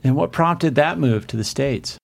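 A man's voice: mid-range voice, measured pace, vocal fry, dry recording. A man hosting a podcast interview, asking questions and offering brief acknowledgments. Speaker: podcast host. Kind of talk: conversational interview.

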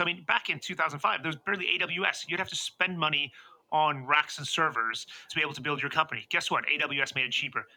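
Guest voice: middle-aged American man, very bright and forceful tone, eager investor energy. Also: on the high side, rough recording. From United States. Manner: enthusiastic, expansive, animated. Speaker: venture capital investor, podcast guest. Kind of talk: conversational interview.

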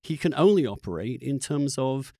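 Animated man in heated political debate. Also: mid-range voice, normal speed, dry recording, studio quality. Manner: emphatic, argumentative, interrupting. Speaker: podcast host debating a guest.

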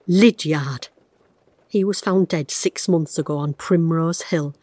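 An actress playing a retired woman detective who is losing her memory, speaking a hushed, halting inner monologue. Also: on the low side, no echo. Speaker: actor in an audio drama. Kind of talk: first-person audio drama narration.

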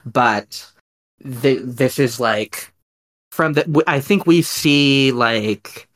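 A man hosting a podcast, talking in a casual mock-scholarly way, halting and rambling as he works ideas out. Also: mid-range voice, fairly bright tone. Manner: halting, rambling, thinking aloud. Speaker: podcast host.

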